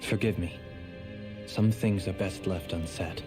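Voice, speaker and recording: Melancholy game nobleman, lowish voice, formal, measured, solemn, refined, music clearly behind. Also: steady tone, a little mellow. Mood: solemn, resolute. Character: refined, melancholy young nobleman and sworn lord. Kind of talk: dramatic video game voice acting. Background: prominent music.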